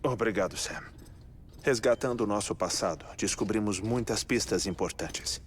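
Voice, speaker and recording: A man with a Brazilian accent playing a calm, methodical scientist who explains findings in a dubbed game cutscene. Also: fairly low pitch, slight background noise, lightly acted. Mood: calm, explanatory. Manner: expository, measured. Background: music.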